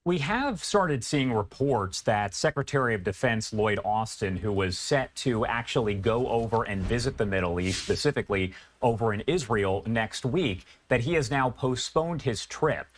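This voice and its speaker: A man with a medium-pitched voice anchors a live news segment. He speaks as an interviewer, setting up topics and putting questions to his guest. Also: expressive, normal speed.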